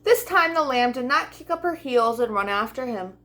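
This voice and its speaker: A woman hosting a children's storytime, reading and talking directly to young listeners in an expressive way. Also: a little high, moderate pace. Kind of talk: storybook read-aloud and talk to young children.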